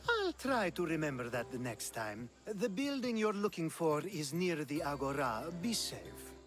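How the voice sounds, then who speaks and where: A man's voice: on the high side, very animated, unhurried, music in the background. Sly, flirtatious, swooping Greek nobleman. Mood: smooth, scheming. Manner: playful, teasing, theatrical. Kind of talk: video game cutscene acting.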